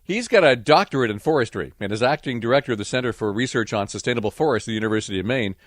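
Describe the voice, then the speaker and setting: A man hosting and narrating a radio nature program in a clear, storytelling delivery. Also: mid-range voice, expressive, rapid-fire, no room sound, clean studio sound. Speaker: radio show host and narrator.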